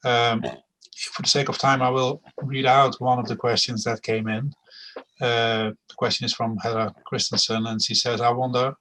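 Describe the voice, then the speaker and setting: A man's voice: on the low side, bright, forceful tone, poor audio. A man with a Dutch accent moderating questions in a webinar, speaking hesitantly with many uhs and restarts over a video call. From Netherlands.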